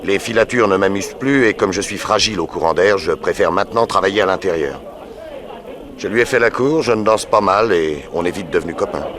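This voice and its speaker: Deep-voiced, unruffled radio detective. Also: male voice, brisk, a bit bright. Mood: wry, explanatory. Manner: unruffled, dry, authoritative. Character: cool, worldly, self-assured male private detective. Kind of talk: 1960s French radio drama acting.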